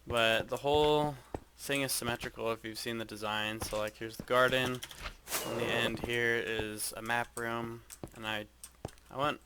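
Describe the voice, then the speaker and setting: A man giving a casual, hesitant walkthrough of his game base, speaking in a flat monotone with frequent ums. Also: mid-range voice, moderate pace, background noise. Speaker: gaming video creator.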